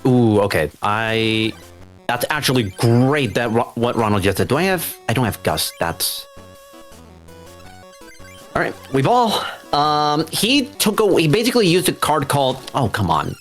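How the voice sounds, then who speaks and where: A speedrun racer casually commentating on their own gameplay, talking through each move in an animated way. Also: mid-range voice, measured pace, faint music behind. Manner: animated, self-narrating play.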